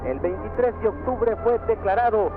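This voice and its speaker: A woman's voice: mid-range voice, moderate pace. A woman reading a militant news bulletin with the sound of an old radio broadcast. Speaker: radio newsreader. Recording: tinny narrow-band radio broadcast with music.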